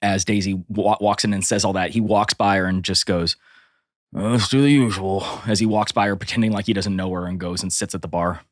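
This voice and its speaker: Man, lower voice, relaxed, wry, dry close mic. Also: fairly quick, dry recording. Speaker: player. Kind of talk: casual tabletop play.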